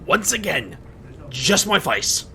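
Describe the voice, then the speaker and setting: A man's voice: high-pitched, very animated, takes it slowly, vocal fry, a crowd in the background, heavily acted. A man playing an anxious, caring, easily exasperated young man in an audio drama. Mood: indignant.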